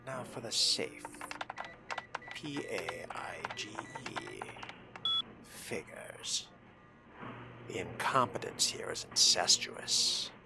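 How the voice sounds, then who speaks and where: A man voicing a haughty, scheming fledgling vampire in a video game roleplay, breathy and near-whispered, musing to himself in pompous, disdainful language. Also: low voice, very animated, takes it slowly, pauses often, loud music behind the voice, heavily acted. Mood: disdainful, smug. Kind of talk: in-character roleplay monologue over a video game.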